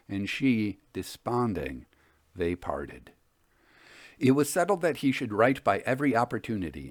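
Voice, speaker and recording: A man hosting and narrating old ghost stories in a measured, theatrical storytelling manner. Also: fairly low pitch, a little mellow, no echo, clean studio sound. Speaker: host and storyteller.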